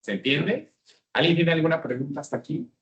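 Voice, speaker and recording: A man's voice: on the high side, brisk, a bit bright, dull audio. A man with a Mexican accent giving a business seminar talk and often asking the audience questions. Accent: Mexican Spanish. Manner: engaging, asks the audience questions. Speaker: speaker.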